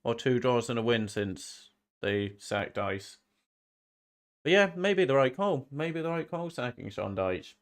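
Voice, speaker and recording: Guy with a bit of a cold rambling on a football watch-along stream, average pitch, repeats himself. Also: big pitch swings, a little slow. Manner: rambling, often repeats phrases. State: slight head cold, sniffly. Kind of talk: casual live-stream chat.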